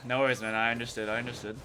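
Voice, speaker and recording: A man's voice: mid-range voice, fast talker, heavy background noise. A young man giving casual, slangy live commentary while streaming a video game. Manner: slangy reactions. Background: loud game music.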